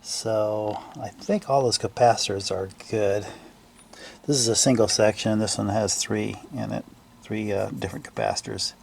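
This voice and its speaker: A man giving casual, unscripted repair commentary in a gravelly voice, thinking aloud haltingly in trailing fragments. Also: lowish voice, fairly even intonation, a little hiss or hum. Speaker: hobbyist restorer narrating a repair video.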